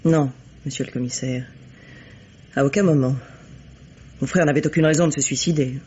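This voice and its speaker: A dull, lo-fi radio mystery recording carries the husky voice of a haughty bourgeois woman. She is cynical and coolly disdainful, choosing her words with measured precision. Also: sits low, steady tone, warm tone. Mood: cool, firm. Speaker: radio drama actress. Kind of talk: French radio mystery acting.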